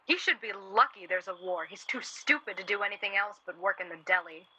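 An actress playing a sharp-tongued, worried young wife in a 1940s radio play, snippy and sardonic. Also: female voice, mid-range voice, fairly quick, husky, poor audio. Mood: bitter, worried.

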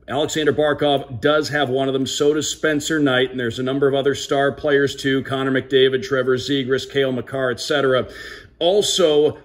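A man with a slightly high voice presents an unboxing in an upbeat, casual way. He speaks directly to viewers like a host guiding them through each item. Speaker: sports broadcaster hosting a card unboxing. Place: indoor room at a wooden table.

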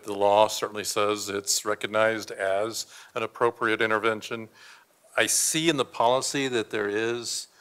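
A man's voice: on the low side, fairly bright tone, clean tone. An older man, a board member seated at the dais, asking a careful, apologetic question into a desk microphone. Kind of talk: asking a question at a public meeting. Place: school board meeting room, seated at the dais.

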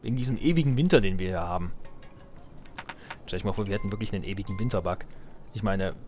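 A man's voice: deep voice, quick pace, darkish tone, soft background music. A man giving casual let's play commentary, grumbling and talking to the game's characters. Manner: grumbling, talks to game characters.

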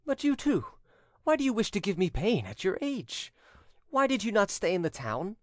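A man's voice: high voice, big pitch swings, moderate pace, no room sound, clean studio sound, pitched above the performer's own voice. A man voicing a noble young condemned son in a dramatic audiobook, tender, earnest and entreating. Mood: tender reproach. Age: young adult. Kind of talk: dramatic audiobook character reading.

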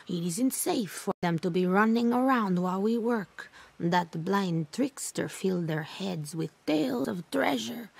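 Game role: elderly village woman, stern and candid, gravelly, weathered voice. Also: medium pitch. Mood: firm, concerned. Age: older. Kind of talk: video game cutscene acting.

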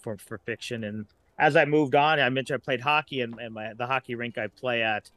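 An American man in his late forties talks casually as a podcast guest. His voice is bright and punchy at an ordinary male pitch. He rambles along like someone who enjoys telling stories. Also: fairly even intonation, brisk. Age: late 40s. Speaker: guest, writer.

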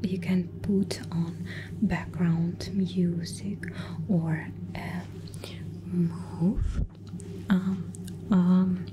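A woman in her thirties whispering breathily in an ASMR flight attendant roleplay, with halting, hesitant phrasing, close to the microphone. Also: lowish voice, level tone, background noise.

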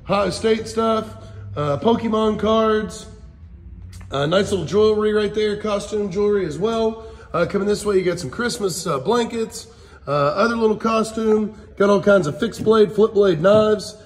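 A man's voice: high-pitched, normal speed. A man in his forties giving a casual, folksy auction walkthrough, listing items for viewers on a handheld phone. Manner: folksy, addresses viewers as guys. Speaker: auction house presenter. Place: large indoor auction hall and warehouse. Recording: echoey warehouse hall reverb.

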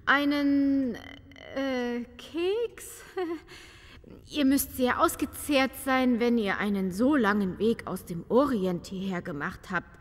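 Woman acting a high-pitched, haughty countess. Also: slow pace, big-room sound. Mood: flustered politeness. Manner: affected, stammering politeness. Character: haughty, easily flustered noble countess. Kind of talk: fairy-tale comedy radio play acting.

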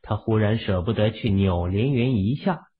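A man's voice: fairly low pitch, normal speed, breathy edge. A man narrating fiction from a script as an audiobook storyteller. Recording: phone-like, band-limited audio.

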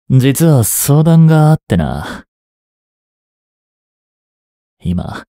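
A man in his twenties playing a reluctant, guilt-ridden young hitman in an audio drama, softly spoken and halting. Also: on the low side, dry recording, clean studio sound, exaggerated voice. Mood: wistful, subdued. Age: 20s. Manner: halting, subdued delivery. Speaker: voice actor. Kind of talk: Japanese audio drama monologue and dialogue.

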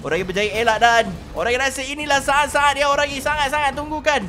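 A man with a Malaysian accent hosting a gaming video, giving excited, theatrical and repetitive role-play commentary on his play. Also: very high voice, quick pace, slight background noise.

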